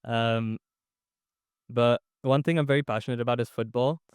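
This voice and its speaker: A man's voice: mid-range voice, steady tone, normal speed, a little mellow, clear, resonant tone, dry recording, studio quality. A young man in his late teens from Tanzania, a student panelist answering reflectively with hesitant fillers.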